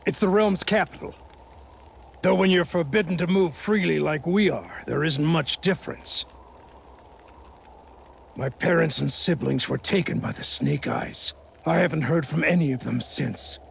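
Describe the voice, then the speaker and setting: Very gravelly, bitter townsman. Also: fairly high pitch, a little slow, slight background noise. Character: bitter, defiant oppressed townsman who lost family. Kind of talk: video game voice acting.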